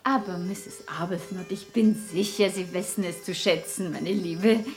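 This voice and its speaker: An actress playing a patronising high-society lady in a radio play, effusive and mannered in her speech. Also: a little slow, darkish tone, soft background music. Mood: sweetly patronising. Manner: effusive, mannered, sweetly condescending. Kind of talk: 1960s German radio play acting.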